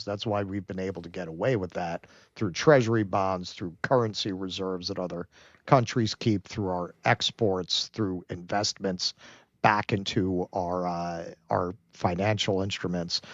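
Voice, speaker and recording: A man co-hosts a livestream, talking bluntly and swearing freely as he riffs. His voice is low. The audio is dry and a little dull, like a budget stream mic. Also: no room sound. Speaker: co-host. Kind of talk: casual livestream chat.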